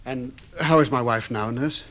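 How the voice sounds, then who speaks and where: A man's voice: mid-range voice, normal speed, a bit bright, lightly acted. An actor playing an agitated, guilty husband in an old radio drama, pleading earnestly and stumbling over his words. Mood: indignant, anxious. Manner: earnest, flustered, hesitant and repeating himself. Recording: slightly muffled vintage radio drama recording.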